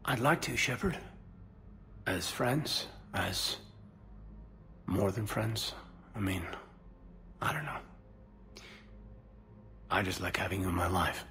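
A man playing an earnest, soft-spoken, somewhat hesitant space soldier in a video game, speaking intimately. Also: low-pitched, expressive, takes it slowly, pauses often, slight background noise, exaggerated voice. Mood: tender, hesitant. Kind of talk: video game dialogue acting.

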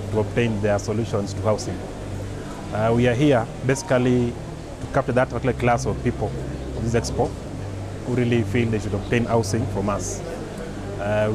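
Male mortgage advisor, earnest pitch, mid pitch, loud crowd behind. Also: poor audio. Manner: earnest sales pitch, repeats "really". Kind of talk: interview at an expo stand. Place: indoor expo stand.

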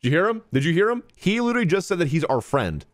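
A man's voice: high-pitched, very animated, very fast, no echo, clean studio sound. A young man streaming a game, reacting casually with jokey, slangy commentary. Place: indoor streaming room.

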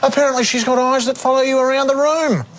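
An actor playing a crude, cocky Australian criminal doing a blunt, deadpan comic auction monologue. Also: male voice, very high-pitched, fast. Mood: cocky, mocking. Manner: blunt, foul-mouthed, deadpan drawl. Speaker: sketch performer as auctioneer. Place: stage with podium and red curtains. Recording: laughing audience, clear background noise.

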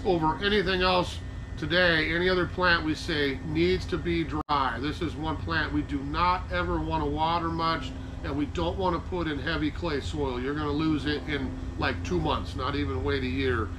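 An older man with an American accent gives an informal gardening lecture in a folksy, conversational way, stressing items as he lists them. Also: on the high side. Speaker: nursery class presenter. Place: covered wooden garden-nursery structure. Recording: noticeable background noise.

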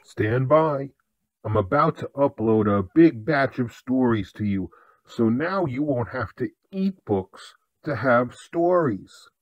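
A calm, businesslike man, as a man acts him out, talking in a brisk, matter-of-fact way. The recording is dull and dry. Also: mid-range voice, dry recording.

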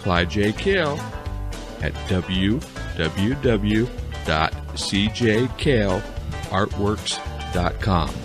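A man's voice: lowish voice, animated, slow pace. A man reading scripted podcast credits as an announcer, with guitar music playing behind him. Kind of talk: scripted outro narration.

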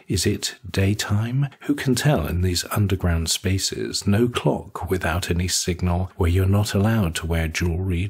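A man narrating a scripted literary short story in a husky voice. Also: sits low.

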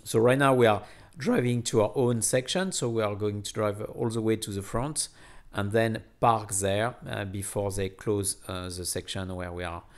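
A man narrating a casual travel vlog in a hesitant, halting way, often repeating words. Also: on the low side. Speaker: vlogger.

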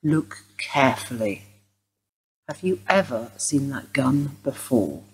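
A woman acting in a radio play as a stern police detective, formal, clipped and insistent, with a husky voice. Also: a bass voice, very animated, slow, exaggerated voice, rough recording. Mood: formal, probing. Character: stern, probing female police detective inspector.

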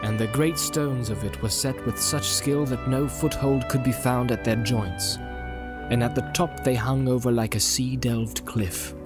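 A man's voice: medium pitch, steady tone, measured pace. Solemn male audiobook narrator over music. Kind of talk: dramatic scripted narration of fantasy novel.

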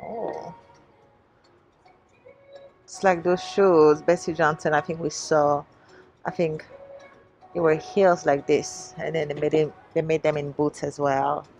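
Slow-talking, low-pitched woman vlogger with gravelly creak. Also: level tone, a little mellow, soft background music, rough recording. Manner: musing, chatting to viewers while browsing. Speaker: shopping vlogger. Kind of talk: casual shop-with-me vlog chat. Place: inside a large shoe store. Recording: faint background store noise.

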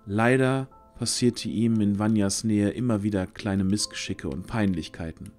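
A man's voice: fairly low pitch, unhurried, darkish tone, faint music behind. A man narrating a scripted fantasy audio drama as its storyteller.

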